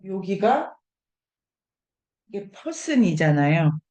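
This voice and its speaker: A woman's voice: lowish voice, very animated, takes it slowly, a little mellow, muffled recording. Woman leading a thoughtful seminar discussion. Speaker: discussion leader. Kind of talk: seminar discussion, thinking aloud.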